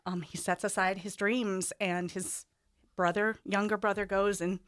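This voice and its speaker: A woman's voice: medium pitch, level tone, unhurried. An American woman, a stage director, recounting a story conversationally as a radio interview guest. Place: radio studio.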